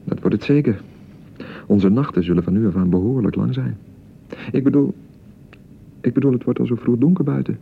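An actor in a radio play playing an awkward young bridegroom eager to reassure, halting and repeating himself, with a Netherlands Dutch accent. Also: male voice, medium pitch, vocal fry, a little hiss or hum, natural voice. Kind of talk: naturalistic radio play dialogue. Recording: thin phone-like radio broadcast sound.

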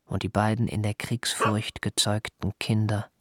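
A man narrating a literary radio play in a measured, precise manner. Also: on the low side, little pitch movement, no echo, clean studio sound.

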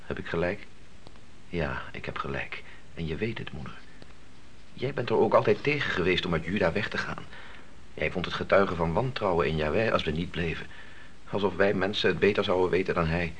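A man's voice: deep voice, fairly quick, a bit bright. A man playing an earnest, questioning grown son in a radio play, hesitant and argumentative as he cautiously challenges his devout mother. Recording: noisy old radio play recording.

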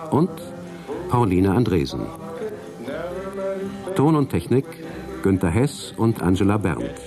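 A man announcing radio play credits in a scripted style. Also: fairly low pitch. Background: music.

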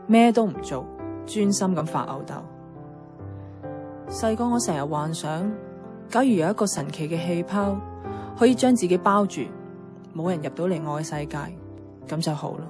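Actress playing introverted young woman, Hong Kong Cantonese, lower pitch, reflective, subdued, radio drama monologue, clear background music. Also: animated, moderate pace, long pauses, close to an everyday voice. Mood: withdrawn, wistful. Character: introverted, lonely young woman musing to herself.

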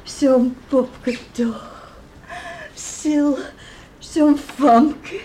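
High-voiced, slow-speaking caring mother. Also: steady tone. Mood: moved. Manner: tender, fussing, exclaiming. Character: warm, caring beachcomber's wife and mother. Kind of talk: Dutch radio drama acting. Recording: noisy old radio play recording.

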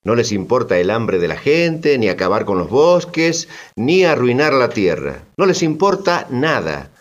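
A man playing an indignant, sardonic storyteller in radio theatre, talking conversationally with expressive irony. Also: mid-range voice, unhurried, muffled recording. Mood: bitter irony. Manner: expressive, ironic, mimicking orders. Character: indignant, sardonic male storyteller recounting colonial exploitation.

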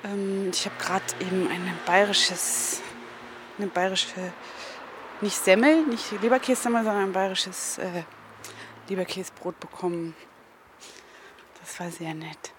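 A woman speaks casually and haltingly at a middle pitch, searching for her words. She is recorded out in the field, with clear traffic and street noise behind her. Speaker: reporter following a banknote. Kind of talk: casual spontaneous field conversation.

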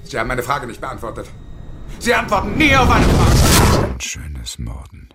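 An actor playing a bitter, desolate unemployed engineer around fifty in a radio play, with a husky, brooding delivery. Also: male voice, mid-range voice, huge pitch swings, normal speed, very noisy. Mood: challenging. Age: middle-aged. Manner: brooding, often whispery delivery. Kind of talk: German radio play acting, monologue.

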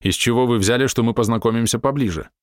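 A man's voice: mid-range voice, quick pace, dry recording, clean studio sound, pitched above the performer's own voice, lightly acted. A man voicing an irritable middle-aged male writer who snaps back with indignant retorts. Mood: irritated.

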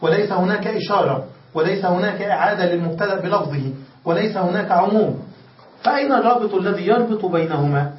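A man's voice: high voice, quick pace. A man teaching a classroom lesson who explains step by step, restates his points and keeps checking that his students follow. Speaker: grammar teacher. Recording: echoey room, distant lo-fi mic.

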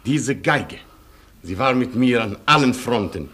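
A man's voice: medium pitch, lively intonation, a little slow, fairly bright tone. A proud Polish colonel of the old aristocracy, speaking in wide, swooping melodies. He is gallant and imperious, with a Polish accent, formal broken phrasing and full radio-play grandeur. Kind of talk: German radio play acting.

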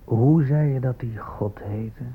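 An actor playing a self-satisfied, gold-hungry Spanish conquistador in a radio drama, speaking in a blunt, matter-of-fact way. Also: male voice, medium pitch, slow pace, slight background noise, lightly acted. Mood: curious, greedy. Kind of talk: radio drama monologue and dialogue. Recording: muffled phone-like old radio play.

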